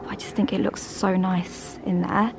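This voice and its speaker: A woman's voice: medium pitch, flat delivery, moderate pace, creaky, background noise. A woman chatting casually and affectionately to her vlog audience. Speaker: vlogger, host of a personal channel.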